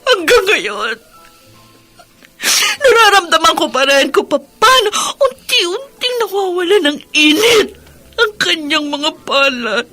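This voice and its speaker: A grieving widow in a radio drama, with a very high, bright voice. She pleads emotionally, haunted by loss, her pitch swinging widely. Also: very animated, faint music behind, exaggerated voice. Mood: anguished, tearful. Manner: emotional, tearful, drawn-out pleading. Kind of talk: Filipino radio drama acting.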